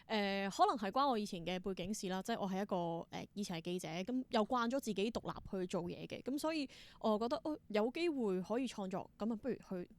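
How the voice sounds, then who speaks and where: A woman's voice: medium pitch, expressive, quick pace, few pauses. A woman with a Hong Kong Cantonese accent speaking as an interview guest, telling stories casually and acting out bits of dialogue. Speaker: interview guest, theatre performer and creator. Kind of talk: casual conversational storytelling.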